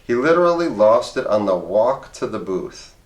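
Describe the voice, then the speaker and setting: A man's voice: fairly low pitch, big pitch swings, normal speed. A man reading a comic memoir aloud in a wry, conversational audiobook style. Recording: roomy, echoey voice recording.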